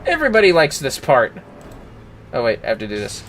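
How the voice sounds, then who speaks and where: A man's voice: mid-range voice, huge pitch swings, fairly quick, a bit bright, slight background noise. A man streaming a game, chatting casually. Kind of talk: casual livestream chat while gaming.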